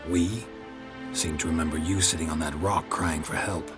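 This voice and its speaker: An actor playing a gruff, grizzled monster hunter in a video game, speaking in short, flat lines. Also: male voice, normal speed, music in the background. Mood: dry. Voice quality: low, flat, terse delivery.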